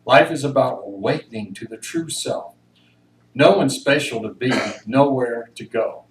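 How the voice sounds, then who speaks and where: A man's voice: medium pitch, a little slow. Man reading aloud in a roomy, distant recording. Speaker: fellowship member reading at a church service. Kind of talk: introduction and read-aloud reflection. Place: church room, recorded from a distance. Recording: roomy, distant mic, faint noise.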